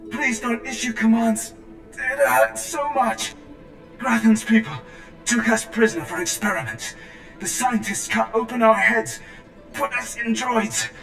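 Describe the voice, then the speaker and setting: An actor playing a tormented soldier trapped in a droid body in a video game, pleading in anguish through a robotic filter. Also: male voice, extremely high pitch, measured pace, vocal fry, exaggerated voice. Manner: pleading, anguished, breaking into flat system announcements. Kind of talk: video game cutscene acting. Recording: echoey, distant, music underneath.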